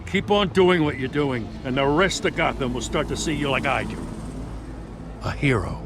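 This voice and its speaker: An actor playing a wary, earnest veteran police commander in a video game, speaking in a clipped way. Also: male voice, mid-range voice, moderate pace, noisy background, close to an everyday voice.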